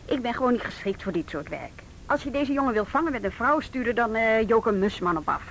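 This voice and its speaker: An actress playing an uneasy, principled youth police worker in a radio drama, speaking in a firm, formal, guarded way. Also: female voice, animated, a little fast, a bit bright. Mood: defiant. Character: uneasy, principled woman working with juvenile police. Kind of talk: Dutch radio drama acting. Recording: rumbling, noisy old radio drama recording.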